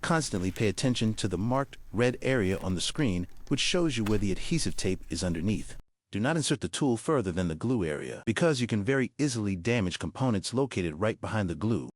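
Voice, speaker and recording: A man's voice: on the low side, expressive, fairly quick. A man giving scripted instructional narration, guiding the listener carefully through each step. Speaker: repair tutorial narrator.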